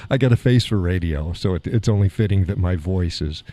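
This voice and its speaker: A man hosting a live stream in casual, rambling chat, with a slight gravel in his voice and chuckles between remarks, recorded in a home studio through a mixer board. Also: low-pitched, moderate pace, dark tone, dry recording. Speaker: live show host. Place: home studio in a weight room. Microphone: studio mic through mixer board.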